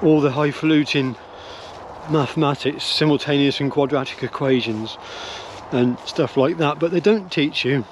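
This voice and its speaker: A man's voice: a little high, normal speed, background noise. An older man talking while walking his dogs through woodland, musing and hesitant, with many fillers and restarts, recorded close on a handheld camera. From England. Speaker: vlogger. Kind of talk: rambling walk-and-talk opinion vlog. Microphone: phone or camera held close at arm's length.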